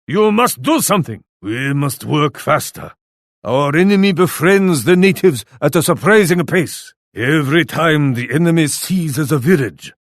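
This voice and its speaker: An actor playing an arrogant, ruthless warlord in a video game, speaking in a stern, imperious and formal manner. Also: male voice, a little high, dry recording, clean studio sound. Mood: alarmed, urgent. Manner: imperious, stern, formal, refers to himself in third person. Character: arrogant, ruthless, scheming warlord who scorns his allies. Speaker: computer player in a strategy game. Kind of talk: scripted video game voice lines.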